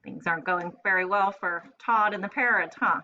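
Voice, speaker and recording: A woman's voice: medium pitch, normal speed, bright, forceful tone, muffled recording. A woman reading a children's storybook aloud expressively, adding brief asides. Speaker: storybook reader.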